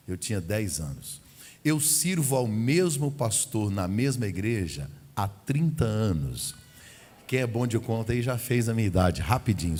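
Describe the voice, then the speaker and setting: A man's voice: mid-range voice, a little mellow. A man in his forties from Goiás, Brazil, preaching as a visiting pastor at a family service, chatty and humorous, acting out dialogue. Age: 40s. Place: church sanctuary. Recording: long hall reverb in a church.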